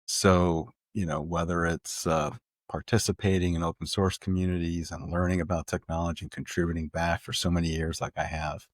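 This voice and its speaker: A middle-aged man from Puerto Rico, a tech executive guest telling his life story in an animated way, laughing often and repeating words as he starts sentences. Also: deep voice, a little fast, no echo. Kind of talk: conversational storytelling.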